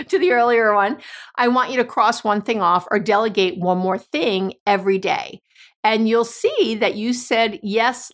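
A woman hosting an advice talk, chatty and a little hoarse, often restarting her sentences and laughing. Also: mid-range voice, fairly bright tone. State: slightly hoarse. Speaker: podcast host and coach. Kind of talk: casual advice monologue.

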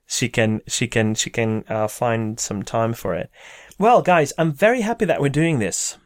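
A man's voice: medium pitch, expressive, a little slow. A young man from Hungary, an English speaker, hosting a casual podcast chat, hesitant with many restarts. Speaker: podcast host.

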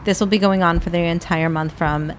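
A woman co-host with a voice a little low for a woman, bubbly and quick to agree. She reads out the sponsor details clearly. A faint hiss sits in the background. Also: fairly even intonation. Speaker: podcast co-host. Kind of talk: casual chat and ad read.

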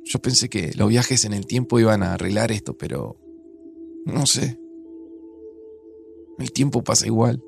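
A man's voice: fairly low pitch, measured pace, gravelly, pauses often, soft background music. A man playing a melancholy, grieving man in a naturalistic audio drama, with an Argentine accent and a hesitant, introspective way of talking. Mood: anguished, wistful. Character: melancholy, grieving, dramatic man, older than his friend.

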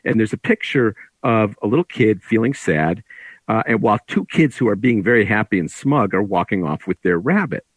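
Male author in conversation: lowish husky voice, anecdotal and explanatory, dry close sound. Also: expressive, moderate pace, no echo, poor audio. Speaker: author discussing his book. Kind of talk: conversational interview talk.

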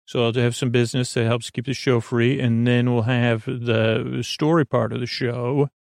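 A man with a creaky voice hosting a sleep podcast, giving a soothing, meandering, hesitant bedtime monologue that keeps drifting off on tangents. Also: medium pitch, level tone, moderate pace, dry recording, studio quality.

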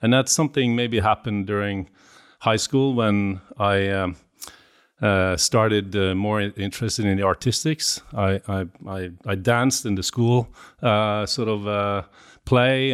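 A man's voice: on the low side, steady tone, moderate pace, clear, resonant tone. A man in his fifties from Norway with a Norwegian accent, talking as a podcast guest, hesitant and full of fillers and restarts. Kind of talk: casual interview.

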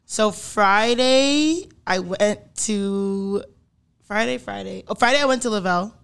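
Chatty podcast cohost, clean smooth voice, lots of restarts. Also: mid-range voice, fairly bright tone. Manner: chatty, quick reactions, rambling restarts. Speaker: podcast co-host. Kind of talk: casual chat.

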